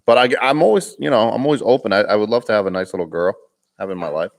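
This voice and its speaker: A young man with a Boston accent hosts a casual live Q&A, reading viewer comments aloud. His voice sits a bit low and he talks in a loose, rambling way, often laughing and restarting his sentences. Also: fast talker, creaky. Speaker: vlogger hosting a live Q&A.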